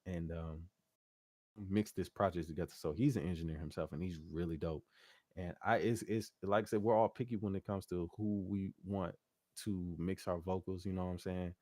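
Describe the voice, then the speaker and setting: A man's voice: sits low, dark tone, no room sound, clean studio sound. A man speaking casually and informally as a musician guest in an interview, with slangy, loosely phrased talk. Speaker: interview guest, R&B singer and producer.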